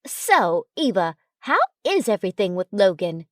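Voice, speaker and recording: A woman voicing a nosy, excitable, teasing young female friend in a story. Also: mid-range voice, normal speed, dry recording, clean studio sound, close to an everyday voice. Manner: excitable, prying.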